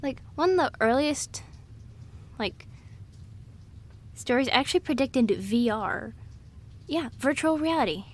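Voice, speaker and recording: A woman's voice: sits high, animated, slow pace, long pauses. A woman in a casual, chatty, scatterbrained monologue, rambling through trivia with an amused manner. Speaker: audio roleplay performer playing a rambling girlfriend.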